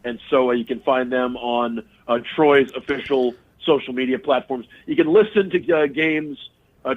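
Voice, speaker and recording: Man on a tinny phone line. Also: mid-range voice. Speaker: sports radio host and play-by-play broadcaster, podcast guest. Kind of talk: conversational sports analysis. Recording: tinny, phone-like remote connection.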